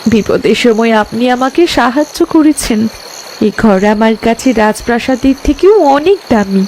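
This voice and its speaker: A woman voicing a gentle, dignified young fairy princess in an animated fairy tale, speaking politely and formally. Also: on the high side, normal speed, slight background noise, close to an everyday voice, rough recording. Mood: grateful, gentle.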